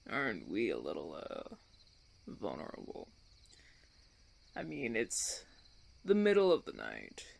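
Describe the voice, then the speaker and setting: A man playing a smug, menacing vampire recruiter in an audio roleplay, with a gravelly, creaky voice and theatrical, taunting, drawn-out phrasing. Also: lowish voice, huge pitch swings, exaggerated voice. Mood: mocking, amused. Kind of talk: ASMR audio roleplay acting.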